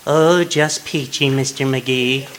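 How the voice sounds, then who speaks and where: Guy doing a mild prim henpecked husband, middling pitch, old radio comedy, bit of background noise. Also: steady tone, takes it slowly, natural voice. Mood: cheerfully meek. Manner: polite, mild, prim delivery. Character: meek, henpecked, cheerful mild little husband. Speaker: actor in a live radio comedy. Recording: live stage recording with background noise.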